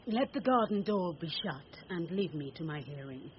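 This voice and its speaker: A woman's voice: fairly low pitch, expressive, moderate pace, lightly acted. A woman playing a proud young noblewoman in Shakespearean radio drama, cool and commanding. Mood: commanding, aloof. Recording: dull, noisy radio recording.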